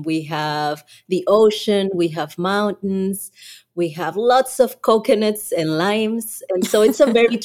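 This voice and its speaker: A woman's voice: on the low side, a little mellow. A Mexican woman, a native Spanish speaker and language teacher, chatting casually as a guest in an interview. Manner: friendly, laughs between thoughts.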